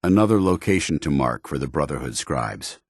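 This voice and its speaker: Very deep-voiced, stern military paladin. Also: male voice, lively intonation, moderate pace, no echo, clean studio sound. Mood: watchful, businesslike. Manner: formal, earnest, commanding soldierly delivery. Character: stern, principled male military paladin, loyal to his order. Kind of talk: video game companion dialogue.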